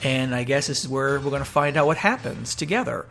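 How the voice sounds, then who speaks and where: A male host gives a casual radio show introduction. His voice sits at an ordinary pitch, with music clearly playing behind it. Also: expressive, brisk, a bit bright.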